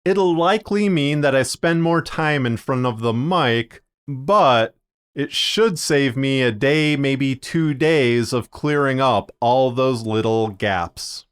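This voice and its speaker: A man narrating a scripted video as a podcaster, speaking in measured, deliberate phrases with short pauses. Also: mid-range voice, clear, resonant tone. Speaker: podcaster and fiction narrator presenting a video series.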